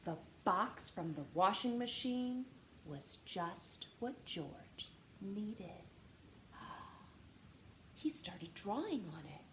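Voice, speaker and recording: A woman reading a story aloud to children in an animated, teacher-like way, stressing words and posing questions. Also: mid-range voice, very slow. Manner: expressive teacher-like delivery, dramatic gasps and emphasis. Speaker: storytime reader. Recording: roomy echo with faint background noise.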